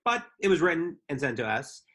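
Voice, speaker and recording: Easygoing man, halting, full of ums. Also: fairly high pitch, big pitch swings, fairly bright tone, slight background noise. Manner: easygoing, hesitant with frequent ums. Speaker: podcast co-host. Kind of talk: casual live podcast chat.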